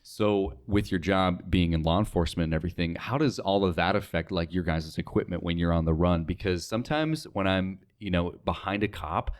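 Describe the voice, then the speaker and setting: Young adult male, low-pitched, informal podcast guest who muses as he talks. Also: fairly quick, few pauses. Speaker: podcast guest, radio sales associate. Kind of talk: casual podcast chat.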